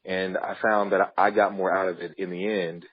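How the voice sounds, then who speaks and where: A man's voice: low voice, flat delivery, moderate pace, breathy edge, dull audio. A man telling his story earnestly as a podcast guest, sometimes restarting his words. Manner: earnest, with some repeated starts. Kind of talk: storytelling interview answers.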